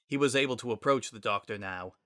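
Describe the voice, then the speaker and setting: A man's voice: medium pitch, fast talker, pushed and bright, no room sound, clean studio sound. A man narrating a story as a dramatic scripted reading.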